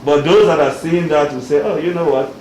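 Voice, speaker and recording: A man's voice: a little high, brisk, darkish tone. A South African man, a university expert, giving formal testimony at a hearing and explaining at length with frequent "you know"s. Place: large hearing room. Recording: echoey hall, faint noise.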